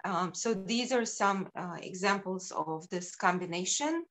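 A middle-aged woman from Ukraine presenting her sculptures over a video call, unhurried and halting with frequent ums. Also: mid-range voice, fairly bright tone.

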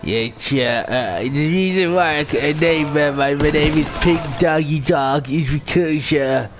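A man voicing an eighty-one-year-old pensioner who talks in slow, halting, stammering bursts over a game chat. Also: fairly high pitch, faint music behind, close to an everyday voice. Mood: doddering. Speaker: gamer pranking on voice chat.

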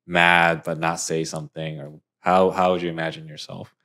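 A man's voice: deep voice, little pitch movement, moderate pace, bright, forceful tone. A young man co-hosting a podcast in a small room, asking long rambling questions full of fillers into a microphone on a stand. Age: young adult. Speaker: podcast co-host. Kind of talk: casual chat. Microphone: boom mic on stand.

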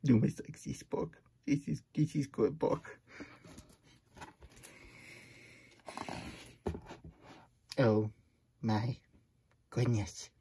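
A man's voice: medium pitch, slow pace, creaky, mellow, slightly rough, heavily acted. A man voicing a silly, childlike plush hedgehog who reads storybooks aloud in babyish broken grammar and gets easily flustered. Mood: flustered, playful. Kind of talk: playful storybook read-aloud.

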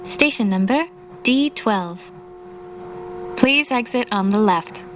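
Very slow, measured female train announcer. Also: a little high, animated. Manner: formal, measured, carefully enunciated. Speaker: English-language train announcer. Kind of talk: recorded in-car station announcement. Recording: faint train noise. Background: train car ambience.

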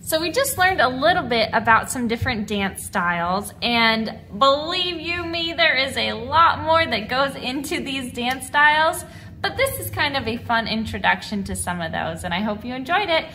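A woman in her thirties teaching a dance lesson to children, with an animated, encouraging, clearly enunciated delivery. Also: high-pitched, normal speed, slight background noise. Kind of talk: instructional video lesson for children. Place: large mirrored dance studio indoors.

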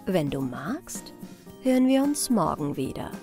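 A woman reading a story as an audiobook narrator, in a measured, expressive scripted storytelling style. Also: on the low side, faint music behind.

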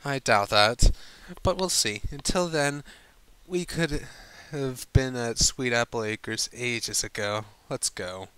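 A man's voice: mid-range voice, fairly bright tone, clear, resonant tone, dry recording, rough recording. A man voicing a prim, proper female unicorn from a storybook, who speaks formally and stammers when flustered. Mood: dry, reproachful. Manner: halting, stammering, fussily formal.

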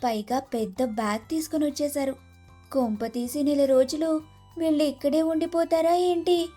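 A woman plays a complaining young daughter-in-law in a cartoon family story. Her voice is high yet mellow, and she is dramatic and scheming. Music plays softly behind her. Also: moderate pace, natural voice. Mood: dismayed. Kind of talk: moral-story cartoon dialogue.

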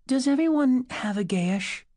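A male narrator plays a demanding young woman on a hard journey. The voice is pitched well up from his own and dark in tone, with impatient, questioning delivery, recorded dry and close. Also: animated, measured pace, no room sound. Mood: sharp questioning. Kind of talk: audiobook character voice.

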